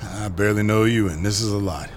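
An actor playing a flustered, gullible cheating husband type in an audio drama, excitable and colloquial. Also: male voice, fairly low pitch, fast talker, slight background noise, natural voice. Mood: dismayed, rattled.

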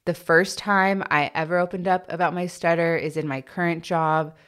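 A woman's voice: lowish voice, steady tone, normal speed, fairly bright tone, clean tone. A woman who stutters, hosting a podcast and answering listener questions in a candid, reflective way, with blocks and repeated sounds. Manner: candid and reflective, with many fillers. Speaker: podcast host.

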